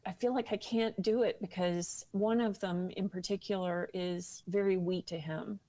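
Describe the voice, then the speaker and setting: A woman's voice: mid-range voice, fairly even intonation, normal speed, warm tone. A woman speaking earnestly and seriously as an interview guest, giving personal testimony.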